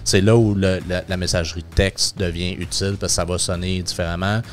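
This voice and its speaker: Low-voiced Québécois man chatting. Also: flat delivery, fairly quick. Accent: Québécois French. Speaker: podcast co-host, crowd management. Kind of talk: casual chat.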